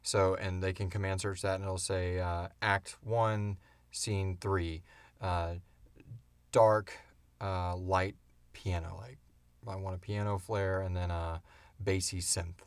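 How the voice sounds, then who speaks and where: Low-voiced man in his thirties, casual podcaster. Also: slow pace, smooth, no room sound. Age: 30s. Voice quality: wheezy breathing. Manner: animated storyteller, acts out sounds and swears casually. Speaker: podcast host and audio drama creator. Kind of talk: casual chat answering listener questions.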